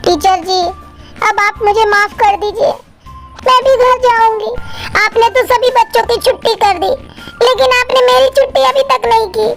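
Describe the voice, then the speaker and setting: A bold little schoolgirl full of mischief for a children's cartoon. The voice is extremely high and childlike, over soft background music. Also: normal speed, gravelly. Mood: pleading. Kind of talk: Hindi cartoon story dialogue.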